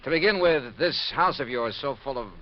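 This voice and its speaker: A male actor plays a world-weary investigator in an old radio mystery. His medium voice moves widely in pitch, brisk, clipped and probing. The recording is dull with light background noise. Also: lively intonation, lightly acted. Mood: probing, firm. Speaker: lead actor. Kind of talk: 1950s radio detective drama acting.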